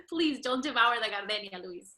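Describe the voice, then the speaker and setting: A woman's voice: a little high, sharp tone, breathy edge, full character voice. A woman acting a kind, headstrong young lady of a wealthy house, sheltered and earnest, in a play reading. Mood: playful, firm.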